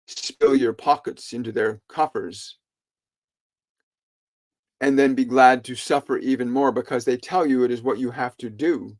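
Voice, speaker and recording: A man with a mid-pitched voice gives a solemn spiritual monologue. He speaks very deliberately and slowly, in short phrases broken by frequent pauses. His phrasing is formal and archaic, in the manner of an orator delivering a sermon. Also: a little mellow, poor audio. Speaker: spiritual channeler delivering a message.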